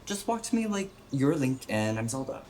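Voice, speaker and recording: A man's voice: mid-range voice, very animated, moderate pace, a little mellow, a little hiss or hum, natural voice. An American man playing a blunt, stubborn young friend in a radio play, casual, wry and needling, with some profanity. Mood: wry, encouraging. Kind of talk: naturalistic radio play acting.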